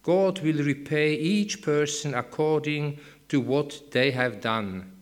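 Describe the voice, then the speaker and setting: Slow Swiss-accented man reading scripture. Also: on the high side. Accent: Swiss German. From Switzerland. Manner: measured, careful reading aloud. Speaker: Bible reader.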